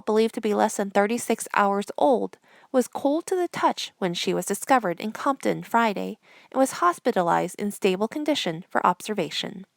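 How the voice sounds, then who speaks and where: A woman presenter reading scripted news headlines in a measured, formal newsreader delivery. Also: on the high side, gravelly, no echo, clean studio sound.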